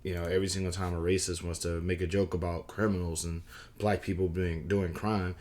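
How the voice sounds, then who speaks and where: A man with a very low, hoarse voice talks straight to camera in a loose vlog. He rambles, restarts his sentences and keeps a wry, conversational tone. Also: little pitch movement, fairly quick, darkish tone. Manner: rambling, frequent restarts and fillers. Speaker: vlogger. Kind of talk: casual talking-head vlog.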